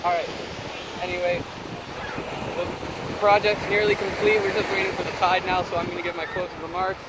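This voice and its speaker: A teenage American boy with a high voice chats eagerly into a handheld camera on a crowded ocean beach, with frequent restarts. Loud wind and crashing surf roar behind him. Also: flat delivery, a little fast. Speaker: vlog host. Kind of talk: casual vlog narration. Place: outdoors on a busy ocean beach.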